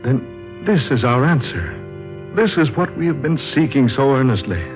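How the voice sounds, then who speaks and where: A man playing an earnest traveler telling his story with theatrical, measured delivery in 1940s radio drama style. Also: medium pitch, sing-song, lightly acted. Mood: awed. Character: earnest, awed male traveler and storyteller, weary pilgrim. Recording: muffled vintage radio recording with music.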